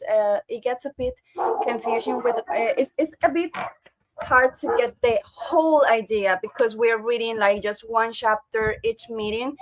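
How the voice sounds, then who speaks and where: A woman's voice: fairly high pitch, a bit bright. A woman hosting an online book club over a video call. English is not her first language, and she talks hesitantly with many fillers and restarts. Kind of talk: casual online meeting chat.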